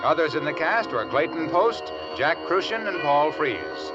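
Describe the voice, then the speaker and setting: A man's voice: a little high, very animated, measured pace. A man announcing on 1950s radio, reading sponsor messages and credits in a polished, emphatic way. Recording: dull vintage radio broadcast with music.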